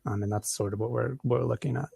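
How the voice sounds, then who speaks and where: A man's voice: mid-range voice, steady tone, fast talker, creaky, warm tone, breathy edge, no room sound. A man with an American accent answering audience questions after a conference talk, with lots of ums and restarts. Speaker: conference presenter.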